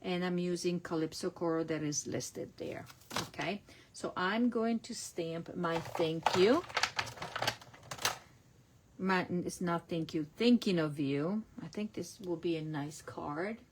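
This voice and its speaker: A woman's voice: fairly low pitch, slow, slight background noise. A middle-aged woman from Italy with an Italian accent, chatting casually through a stamping and card-making class as she works. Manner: chatty, narrates each step, self-correcting. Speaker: crafting demonstrator. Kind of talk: casual online craft class.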